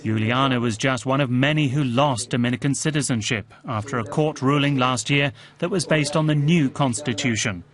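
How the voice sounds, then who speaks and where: A man narrating a television news report in a measured, scripted voice, also voicing translated quotes. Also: medium pitch. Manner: measured, even reporting delivery.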